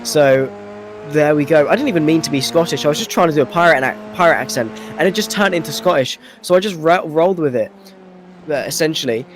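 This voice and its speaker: A man's voice: fairly high pitch, quick pace, a little hiss or hum. A man co-commentating on live sim racing, chatty and self-deprecating, drifting into casual banter.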